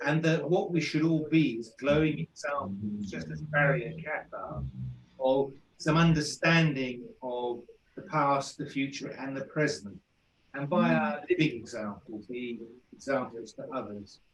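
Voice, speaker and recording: A man in a meeting, politely cutting into a group discussion in a measured way. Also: medium pitch. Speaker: meeting member. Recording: distant, echoey room audio.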